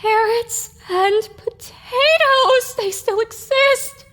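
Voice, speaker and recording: A woman voicing an excitable, curious young girl pony in an audio drama. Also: extremely high pitch, very slow, full character voice. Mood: delighted.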